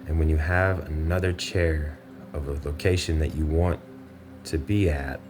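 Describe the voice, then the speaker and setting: A man with an extremely low voice guides a meditation in measured, instructional phrases. His intonation stays fairly flat, with pauses between short phrases. Music plays clearly behind him.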